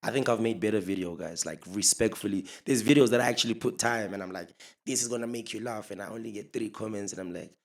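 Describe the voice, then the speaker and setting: A young man, a podcast guest, telling stories in a relaxed, casual way and laughing often. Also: lowish voice, quick pace, creaky. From Zimbabwe. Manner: relaxed, laughs often, mixes in local words. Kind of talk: casual storytelling chat.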